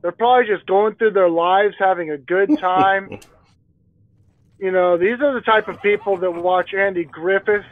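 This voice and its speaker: A man's voice: sits high, normal speed, narrow, tinny audio. A man co-hosting a casual gameplay commentary, joking and bantering playfully. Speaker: gaming co-host.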